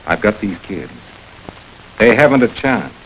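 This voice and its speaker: An actor playing a firm, businesslike district attorney in a 1940s radio drama. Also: male voice, on the low side, big pitch swings, a bit bright, poor audio. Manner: measured, businesslike. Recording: hissy old radio recording.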